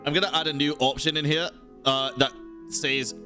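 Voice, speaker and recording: A woman's voice: low-pitched, steady tone, normal speed, pushed and bright. A woman giving casual, sweary and blunt reaction commentary while streaming a game. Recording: game music behind the voice.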